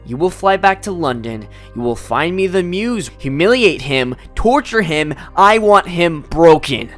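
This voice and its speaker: Audio drama golem, put on by an actor: boyish body, ancient vengeful ruler, raspy and wildly inflected, over music. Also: mid-range voice, animated, measured pace, close to an everyday voice. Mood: imperious, vengeful. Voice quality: rasping. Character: revived ancient king in a golem boy's body, bitter, imperious.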